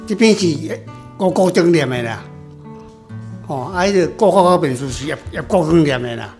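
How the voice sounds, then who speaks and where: A man's voice: on the high side, animated, moderate pace, darkish tone, faint music behind. A man with a Taiwanese accent chatting casually as an author responding to his readers. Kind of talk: casual chat.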